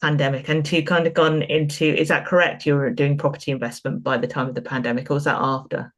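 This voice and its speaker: A woman's voice: low-pitched, flat delivery, fast. A woman hosting a podcast, asking chatty, roundabout questions in a conversational interview style.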